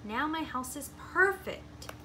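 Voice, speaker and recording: A woman voicing a gentle, polite and earnest male hermit crab from a storybook, in an expressive way. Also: high-pitched, slow pace, noisy background, voice pushed up. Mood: fond.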